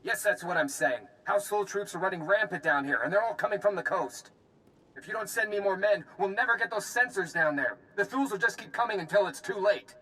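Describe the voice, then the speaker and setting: A man's voice: sits high, brisk, sharp tone, low-quality recording. An actor playing a harried, blunt male army officer in a video game. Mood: frustrated, urgent. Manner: urgent, blunt.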